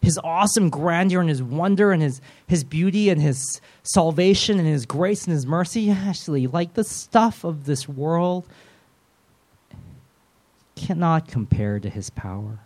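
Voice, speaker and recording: A man preaching a sermon as pastor, earnest and soft-spoken, with frequent restarts. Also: a little high, expressive, measured pace.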